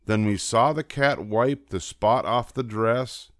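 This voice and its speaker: An older man giving an expressive reading of a children's storybook, with drawn-out emphasis. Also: medium pitch, dry recording, clean studio sound. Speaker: storybook reader.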